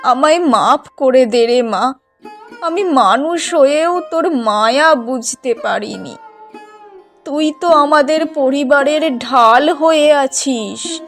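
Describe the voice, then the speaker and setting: A woman's voice: high-pitched, slow pace. A woman playing a weary, hard-pressed village mother in a cartoon drama, with a grave, emotional delivery. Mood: sorrowful. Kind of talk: Bengali moral-story cartoon voice acting. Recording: music bed behind the voice.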